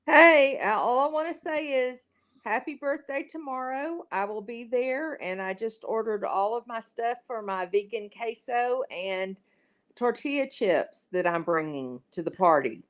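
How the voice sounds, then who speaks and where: High-voiced blunt woman in her fifties. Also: expressive, a little slow, a bit bright. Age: 50s. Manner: blunt, teasing. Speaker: livestream guest. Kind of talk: casual chat.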